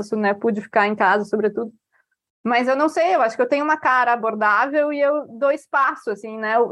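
A woman's voice: on the high side, no room sound. A woman from Brazil, a writer chatting casually in an interview, rambling with many fillers. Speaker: author guest.

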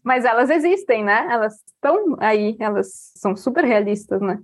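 A woman's voice: fairly high pitch, normal speed, no room sound. A woman from Brazil, a writer chatting casually in an interview, rambling with many fillers. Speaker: author guest.

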